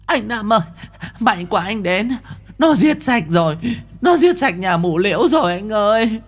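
A man doing a shrill, terrified ghost girl. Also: very animated, a little slow, exaggerated voice. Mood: frantic. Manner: shrill crying pleas. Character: terrified young woman ghost, pleading for rescue.

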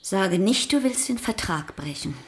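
A woman's voice: mid-range voice, expressive, measured pace, lightly acted. A woman voicing an exasperated, stern sister in a radio drama, scolding and pleading.

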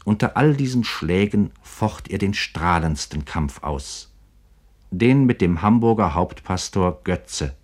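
A man narrating a scripted literary radio programme in a measured, formal manner. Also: low voice.

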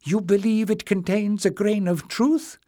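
A man's voice: high-pitched, steady tone, a little slow, warm tone, dry recording, studio quality, voice pushed up. A man voicing a frail, eager old male librarian who mumbles and hesitates.

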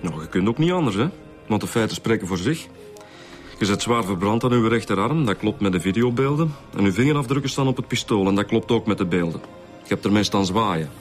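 A man's voice: lowish voice, lively intonation, brisk, lightly acted. A man with a Flemish accent playing a blunt, confrontational detective in a radio drama. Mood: confronting. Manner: confrontational, matter-of-fact. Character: blunt male detective confronting a young suspect with evidence. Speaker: police interrogator. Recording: faint music behind the voice.

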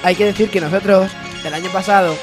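A man's voice: sits high, steady tone, fast talker. A man with an accent from Spain, co-hosting a radio show with casual comedic banter. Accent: Spanish, from Spain. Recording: music clearly behind voice. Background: background music.

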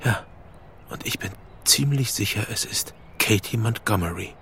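A man playing an earnest, level-headed sheriff's detective in a German audio drama, speaking in a measured, matter-of-fact way. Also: lowish voice, loud music behind the voice, close to an everyday voice. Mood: serious, considerate.

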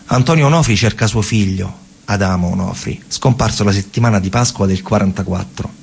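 A man with a central Italian, Roman accent performing a colloquial, emphatic theatrical storytelling monologue, pausing and repeating words, in a gravelly, husky voice. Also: fairly low pitch, a little mellow, a little hiss or hum. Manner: colloquial, emphatic, with pauses and repetitions. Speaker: stage actor and narrator.

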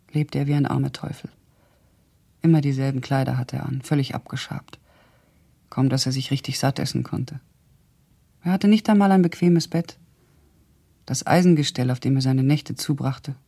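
Older woman, radio drama, raspy and creaky, blunt, sardonic, loyal old friend telling her memories. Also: a bass voice, warm tone, heavily acted. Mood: indignant, emphatic. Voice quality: husky, gravelly. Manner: blunt, sardonic, deliberate storytelling. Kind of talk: 1970s German radio drama, recounting memories.

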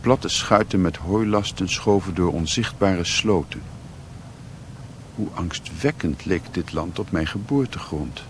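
An actor playing a sombre, reflective narrator in a Dutch radio drama, reading literary prose deliberately. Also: male voice, sits low, expressive. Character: sombre male narrator recalling a sea voyage. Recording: noisy old radio play recording.

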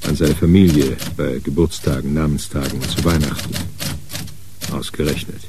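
A man acting as the measured narrator of a hard-boiled crime radio play. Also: rumbling low, steady tone, background noise. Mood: measured, narrating. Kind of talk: scripted narration in a radio drama.

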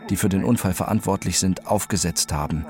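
Low-pitched traumatized accident survivor, detached and precise radio drama narrator, music underneath. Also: male voice. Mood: detached, introspective. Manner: deliberate, introspective, precise.

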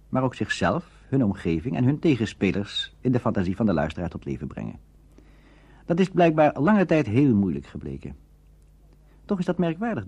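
A man's voice: lowish voice, a little mellow, husky, no room sound. A man narrating a radio documentary from a script, as its presenter.

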